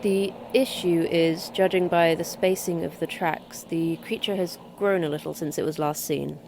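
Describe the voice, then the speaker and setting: A woman's voice: lowish voice, steady tone, moderate pace, vocal fry, background noise. An actress playing a terse, sardonic woman who manages a secret facility, with clipped, dry delivery in a sci-fi audio drama. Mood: brisk, businesslike.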